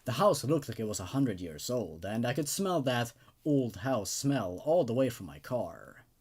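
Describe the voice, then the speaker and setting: A man's voice: mid-range voice, sing-song, unhurried, darkish tone, husky. A man hosting a horror story show, moving between casual commentary and reading stories aloud. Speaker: host and reader of an online horror story show.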